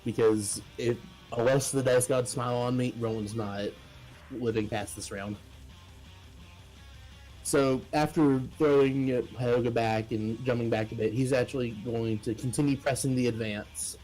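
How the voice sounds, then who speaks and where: A man's voice: medium pitch, fairly even intonation, a little slow, darkish tone, poor audio. A man playing a tabletop role-playing game, talking informally and thinking aloud as he describes his moves. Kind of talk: casual game-table talk. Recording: faint music behind the voice.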